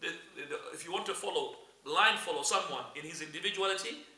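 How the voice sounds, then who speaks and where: A man's voice: high-pitched, quick pace, pushed and bright, distant mic. A man with as his teaching an Islamic class in a mosque, speaking emphatic, repetitive, English. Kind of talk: classroom lecture. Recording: echoey room, voice from afar.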